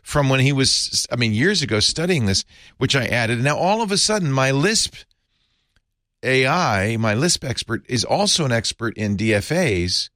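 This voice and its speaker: A man co-hosting a podcast, chatting casually about software and explaining with asides and chuckles. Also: medium pitch, big pitch swings, moderate pace, dry recording, studio quality. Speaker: podcast co-host. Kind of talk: casual tech chat.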